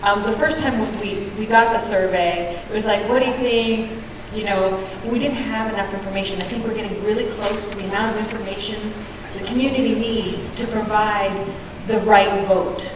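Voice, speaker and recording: A woman's voice: mid-range voice, fairly even intonation, moderate pace. Chatty woman resident in an echoey hall. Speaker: resident asking a question at a public meeting. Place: large meeting hall. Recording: echoey hall, distant mic, background noise.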